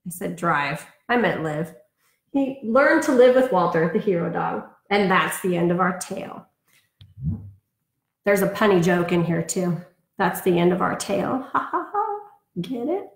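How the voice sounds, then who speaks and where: A woman's voice: lowish voice, very animated, unhurried. A woman reading aloud for an elementary storytime, telling it expressively with chatty asides. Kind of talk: read-aloud storytime for children. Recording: roomy, echoing sound.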